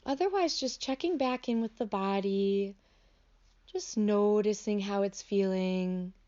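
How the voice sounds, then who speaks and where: A woman teaching a guided meditation in an unscripted, gentle way, offering instructions as tentative invitations. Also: medium pitch, unhurried, muffled recording. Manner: gentle, hesitant, invitational phrasing.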